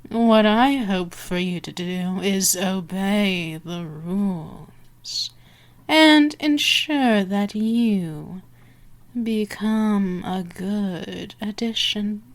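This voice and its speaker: A woman's voice: mid-range voice, drawn-out words. A woman playing a dominant female vampire for an ASMR roleplay, teasing, condescending and menacing, moving between murmurs and whispers. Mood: smug, sweetly controlling.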